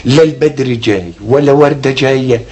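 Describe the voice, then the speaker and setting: An actor playing a stubborn, defiant landowner who speaks in a curt, scornful way. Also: male voice, mid-range voice, fairly quick, a little mellow, close to an everyday voice. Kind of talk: TV drama acting.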